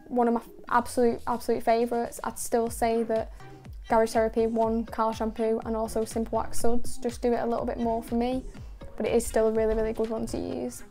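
Young woman, detailing host voice-over, very flat delivery, mellow tone, faint music underneath. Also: on the high side. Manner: calm, measured, with many "sort of" and "kind of" fillers. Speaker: car detailing channel host. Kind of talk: voice-over narration of a car wash.

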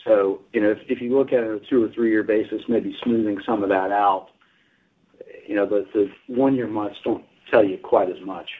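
A man's voice: lowish voice, steady tone, moderate pace, breathy edge. A man speaking as a company executive, explaining results on an earnings call. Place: conference call. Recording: muffled conference phone line.

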